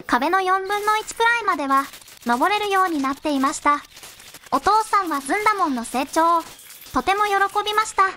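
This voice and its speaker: A young-sounding synthetic woman narrating a fairy tale in measured storybook phrasing. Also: extremely high pitch, bright, forceful tone, slight background noise.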